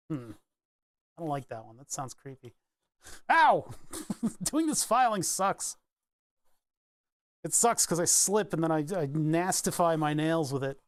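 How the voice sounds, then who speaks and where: Brief: a rambling hobbyist streamer, a man with a slightly high voice, chatting at his workbench. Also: dry recording. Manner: rambling and chatty, answers chat, breaks into laughs. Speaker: electronics streamer. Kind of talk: casual live-stream chat while building a circuit.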